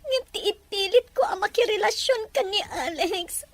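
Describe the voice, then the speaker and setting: A woman playing a gentle, poor young sweetheart in a melodramatic audio drama, plaintive and emotional. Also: very high voice, animated, normal speed, a little hiss or hum, exaggerated voice. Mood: tearful, resigned. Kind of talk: melodramatic radio-style drama acting.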